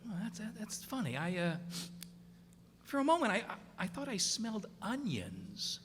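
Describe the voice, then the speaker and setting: An actor playing a nervous, skeptical navy sidekick in a radio play, with hesitant, stammering speech. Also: male voice, high-pitched, wildly swooping pitch, moderate pace, a bit bright, exaggerated voice. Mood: uneasy. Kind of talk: stage radio drama acting. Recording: reverberant hall with faint noise.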